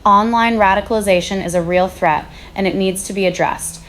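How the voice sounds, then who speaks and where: A woman playing a concerned, stern high school teacher calling a radio show. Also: steady tone, a little fast, a bit bright, a little hiss or hum, lightly acted. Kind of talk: radio call-in drama acting.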